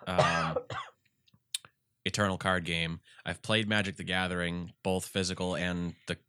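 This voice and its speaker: A man with a congested voice, chatting casually about games as a podcast co-host and rambling through animated retellings. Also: deep voice, takes it slowly, clear, resonant tone, no echo, clean studio sound. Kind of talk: casual gaming chat.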